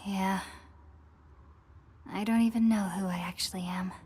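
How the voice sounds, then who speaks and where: An actress voicing a vulnerable, self-doubting amnesiac leader in a video game, speaking in a hushed, hesitant way. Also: female voice, mid-range voice, steady tone, a little slow, close to an everyday voice. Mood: anxious, confiding. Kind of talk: dramatic video game dialogue.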